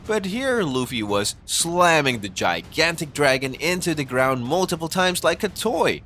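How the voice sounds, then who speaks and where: A man's voice: mid-range voice, a bit bright. A man reading a scripted explainer narration as the narrator of an anime video.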